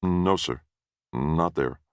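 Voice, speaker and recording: A man voicing a cautious young guest who gives polite, measured replies. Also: extremely low pitch, dry recording.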